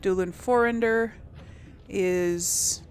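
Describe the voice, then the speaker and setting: A woman gives casual game commentary at a slow, unhurried pace. She rambles and muses to herself in a mid-pitched voice, with faint noise and game ambience behind her. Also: fairly even intonation. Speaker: let's play host.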